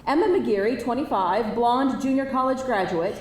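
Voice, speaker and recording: A woman with a fairly high voice narrates a screenplay at a live reading. She delivers scripted stage directions. She sounds distant from the microphone in an echoey room. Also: normal speed. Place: indoor live table read before an audience. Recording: distant mic, echoey room, faint noise.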